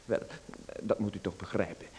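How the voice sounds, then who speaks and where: A man's voice: fairly low pitch, steady tone, normal speed, a little mellow, slight background noise, close to an everyday voice. A man playing a nervous writer in a radio drama, hesitant and stammering. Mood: uneasy. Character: nervous male writer, timid and uneasy.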